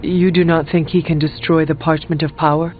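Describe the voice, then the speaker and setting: A candid, calm counselor from a video game, acted by a man, with a somewhat high voice. He speaks as a loyal friend, formal, measured and earnest. The audio is thin and phone-like over faint music. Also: close to an everyday voice. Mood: calm, wry.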